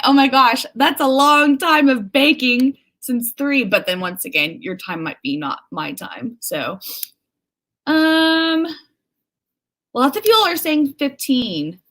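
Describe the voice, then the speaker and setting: A woman's voice: high voice, animated, unhurried, a bit bright. A woman hosting a casual livestream, chatting informally with viewers, reading out their comments and exclaiming as she acts out remarks. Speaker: livestream host.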